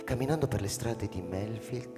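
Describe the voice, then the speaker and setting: An actor playing a thoughtful, uneasy school principal, narrating in a measured radio-drama manner with pauses. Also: male voice, lowish voice, natural voice. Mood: brooding, uneasy. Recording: reverberant hall, music bed underneath. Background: music.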